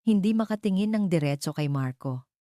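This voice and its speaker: A woman tells a dramatic love story as a scripted narrator. Her voice sits low and has a warm, mellow tone. The recording is dry, with the voice free of room echo. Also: lively intonation, brisk.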